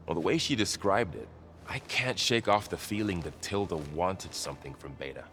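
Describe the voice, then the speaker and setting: An actor playing a gentle, weary warrior companion in a video game, speaking in a measured, reflective way. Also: male voice, deep voice, animated, a little hiss or hum. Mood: thoughtful. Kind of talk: naturalistic video game acting.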